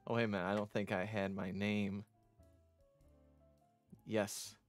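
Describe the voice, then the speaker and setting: A man giving casual, chatty commentary as they show a visual novel, often halting and interrupting themselves with asides. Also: on the low side, flat delivery. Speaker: vtuber streamer showing their own visual novel. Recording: music under the voice. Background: music.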